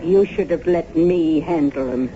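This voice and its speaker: An actress playing a brooding figurine-maker and villain's accomplice in an old radio drama, dour and unhurried. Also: female voice, low voice, slight background noise. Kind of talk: 1970s radio drama acting. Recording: dull old radio broadcast, loud music.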